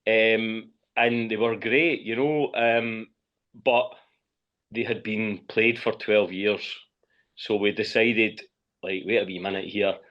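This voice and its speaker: A Scottish man with a lowish voice chats as a podcast guest, the audio a little dull. His answers come out hesitant and matter-of-fact, in an informal conversational style. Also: steady tone, slow. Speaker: podcast guest, pipe band lead drummer. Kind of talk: casual interview chat. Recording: slightly dull, muffled audio.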